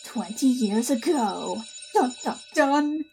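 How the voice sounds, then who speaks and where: A woman hosting a reaction video, commenting casually and spontaneously with excitable fangirl exclamations. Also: high voice, slow, gravelly, soft background music, low-quality recording.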